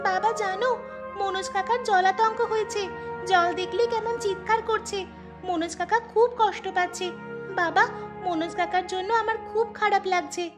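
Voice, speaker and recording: A kind little village daughter in a cartoon tale. The voice is tiny and very high, earnest and sympathetic, with faint music behind. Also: female voice, steady tone, normal speed. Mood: sad, earnest. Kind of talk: Bangla moral-story cartoon dubbing.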